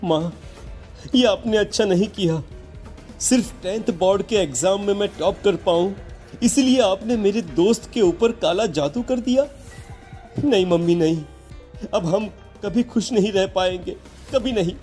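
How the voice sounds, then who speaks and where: A man's voice: sits high, unhurried, a little mellow, soft background music. A man voicing a distressed teenage son who reproaches his mother in a dramatized moral story. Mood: anguished reproach. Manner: anguished, reproachful.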